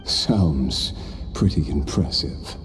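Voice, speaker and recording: A man's voice: very deep voice, lively intonation, slow, in a large hall, soft background music, exaggerated voice. A man voicing an immortal, driven warrior in a game, reflective and wry. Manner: reflective, wry, quiet laughs. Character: immortal, driven male warrior recalling his past. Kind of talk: game voice acting.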